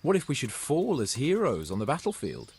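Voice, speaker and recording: A man's voice: mid-range voice, huge pitch swings, fairly quick, gravelly, darkish tone, a little hiss or hum. A young man playing a pompous, romantic young nobleman in a video game cutscene, speaking in a lordly, theatrical way. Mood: dramatic. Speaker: game character.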